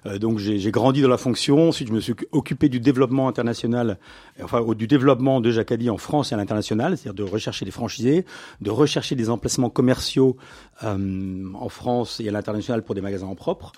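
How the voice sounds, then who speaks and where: A middle-aged man, a business owner, being interviewed and telling his career story in a relaxed conversational way, with frequent fillers and restarts. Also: medium pitch, quick pace. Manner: hesitant, many fillers and restarts, livens up when amused.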